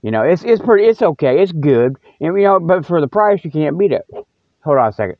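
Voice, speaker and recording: Male comic host, fairly high voice, folksy and meandering, dry lo-fi sound. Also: sing-song, a little fast, no room sound. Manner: folksy, meandering, repeats himself. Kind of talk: rambling, casual mock news monologue. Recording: dull, dry lo-fi audio.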